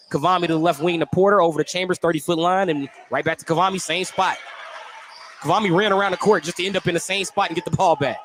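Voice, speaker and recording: Guy doing radio hoops play by play, kinda high voice, lots of detail, gym noise behind him. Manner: detailed, descriptive running narration. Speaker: play-by-play sports commentator. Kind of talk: live basketball radio play-by-play. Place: courtside broadcast spot in a school gym. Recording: live gym broadcast with background noise.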